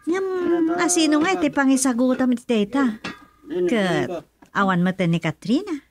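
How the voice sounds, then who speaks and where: A woman's voice: high-pitched, lively intonation, normal speed, a loud crowd. A woman playing an inquisitive, teasing friend in a radio drama, with a probing way of talking. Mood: curious, probing. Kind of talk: Ilocano radio drama acting.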